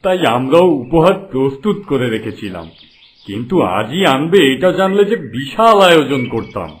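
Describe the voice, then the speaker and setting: Man voicing a kindly, lively-toned fatherly king. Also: medium pitch, normal speed, lightly acted. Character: kindly fatherly old-world king welcoming his family. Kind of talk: Bengali fairy-tale cartoon dubbing. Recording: clearly noisy background.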